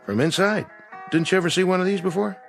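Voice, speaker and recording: An actor playing an easygoing, reassuring diner cook in a classic television drama. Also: male voice, sits high, fast, gravelly, soft background music. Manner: laid-back, reassuring. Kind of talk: 1960s television drama acting.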